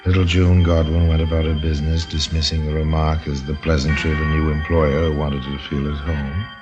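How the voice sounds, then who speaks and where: A knowing, wry storyteller narrates an old radio mystery. His voice is remarkably low. The recording is dull, with soft music underneath. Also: little pitch movement, fairly quick.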